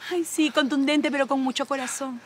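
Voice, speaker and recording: An actress voices a flamboyant Colombian woman in love, gushing and theatrical. Her voice sits high and bright. Faint background ambience is heard. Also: fairly even intonation, fairly quick, a little hiss or hum, lightly acted. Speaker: actress in a TV comedy series. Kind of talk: sitcom acting.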